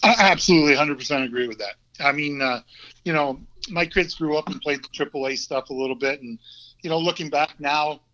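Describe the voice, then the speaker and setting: A man's voice: a little high, a little fast. Laid-back Vermont man, muffled audio. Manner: relaxed, hesitant, many ums and restarts. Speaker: guest, former hockey player. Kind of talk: casual interview chat. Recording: dull lo-fi audio.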